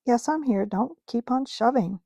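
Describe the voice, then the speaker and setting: A woman voicing a brave, brisk young girl who speaks with urgent excitement. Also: fairly high pitch, creaky, no room sound, pitched above the performer's own voice. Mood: excited.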